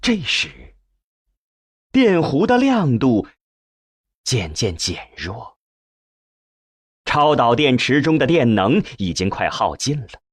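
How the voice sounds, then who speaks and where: A man narrating an audiobook in a scripted, dramatic storytelling style with measured, deliberate phrasing. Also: medium pitch, big pitch swings, slightly rough, dry recording.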